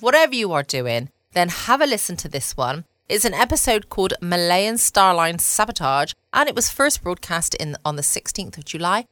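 A woman with an English accent hosting a podcast in a relaxed, chatty bedtime style. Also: low-pitched, dry recording. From United Kingdom. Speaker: podcast host. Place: at home, dry and close.

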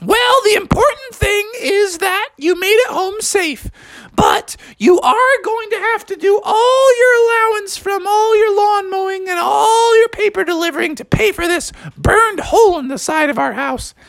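A storyteller voicing a frog mother who scolds in a very high, shrill squawk. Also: unhurried, gravelly, fairly bright tone, dry recording, full character voice. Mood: scolding.

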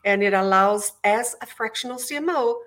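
Brief: middle-aged woman, Portuguese-native Brazilian accent, lively storyteller, interview guest. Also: a little high, moderate pace. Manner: enthusiastic storyteller. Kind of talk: interview conversation. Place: home office.

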